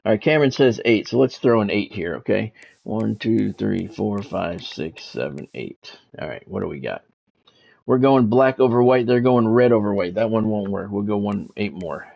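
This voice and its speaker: A man's voice: on the low side, expressive, normal speed, creaky, lo-fi sound. A man streaming a sports video game, mixing casual chat to viewers with play-by-play commentary and repeating himself as he goes.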